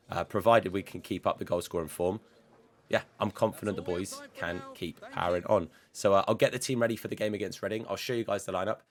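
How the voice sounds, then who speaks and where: A low-voiced man running casual gameplay commentary, his voice rough and hoarse. He talks quickly, in an upbeat, rambling way. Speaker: gaming channel host.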